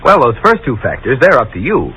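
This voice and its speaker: A man delivering a 1940s radio sponsor pitch, persuasive, folksy and emphatic. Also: wildly swooping pitch, a little fast, bright, forceful tone, slight background noise, poor audio. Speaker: commercial announcer.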